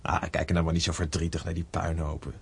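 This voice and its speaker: A man's voice: low-pitched, little pitch movement, fast, creaky, breathy edge, no echo, full character voice. A man acting in a Dutch radio drama as a young cattle herder, plain-spoken, blunt and a little cheeky. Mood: friendly, grateful.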